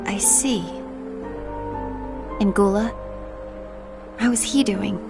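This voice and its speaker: A woman's voice: medium pitch, takes it slowly, gravelly. An actress playing a poised, probing woman leader in a fantasy game cutscene. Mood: contrite, gentle. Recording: music behind the voice.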